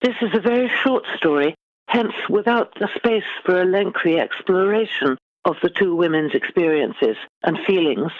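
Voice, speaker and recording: A woman's voice: fairly low pitch, animated, dry recording. Articulate British woman, slightly dull recording. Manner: articulate, measured, formal phrasing. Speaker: guest author. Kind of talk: literary interview, considered answers.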